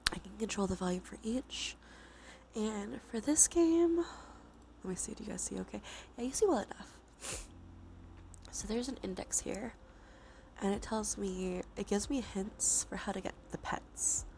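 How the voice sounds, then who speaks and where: A woman with a stuffy nose doing a cozy, gentle ASMR stream, chatting casually in a soft, breathy voice that often drops into whispers. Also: medium pitch, animated, moderate pace, a little hiss or hum. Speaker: livestreamer hosting an ASMR stream. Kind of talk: cozy ASMR, casual chat while gaming. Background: soft ambient soundscape.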